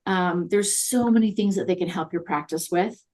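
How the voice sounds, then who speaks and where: Interview guest: woman, somewhat low pitch, friendly and talkative, peppered with "um". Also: moderate pace, a little mellow. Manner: chatty and upbeat, many fillers. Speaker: guest consultant on virtual assistants. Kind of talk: conversational interview.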